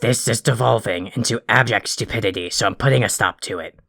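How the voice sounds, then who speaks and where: An actor playing an irritable, condescending troll in an audio drama, with a gravelly, abrasive delivery. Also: mid-range voice, brisk, over music, natural voice. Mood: grudging, curt. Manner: abrasive, condescending, wordy insults. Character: irritable insulting troll boy apologizing grudgingly.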